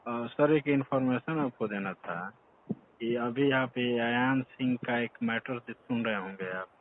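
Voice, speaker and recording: A man's voice: mid-range voice, unhurried, a little hiss or hum. A man phoning in a complaint, deferential and earnest, calling the listener sir again and again. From Bihar, India. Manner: deferential, says sir constantly, earnest and urgent. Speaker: caller reporting a complaint. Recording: tinny phone line.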